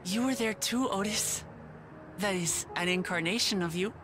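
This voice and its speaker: An actress playing a gentle, sincere young woman adventurer in a fantasy game, speaking in a measured, consoling way. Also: medium pitch, over music, lightly acted. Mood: sincere, grateful.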